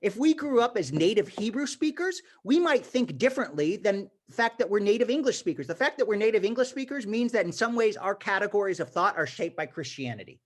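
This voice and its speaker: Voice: medium pitch, brisk, hardly any pauses. A teacher with an emphatic manner and many restarts, giving an informal lecture to a class over a video call. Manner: animated, emphatic, frequent restarts. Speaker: teacher leading a congregation class.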